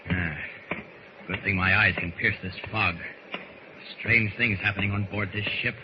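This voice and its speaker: An actor playing a confident, resolute superhero thinking aloud, in the manner of 1940s radio drama. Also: male voice, fairly low pitch, fairly even intonation, a little slow, close to an everyday voice. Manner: declarative, resolute soliloquy. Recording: muffled noisy old radio recording.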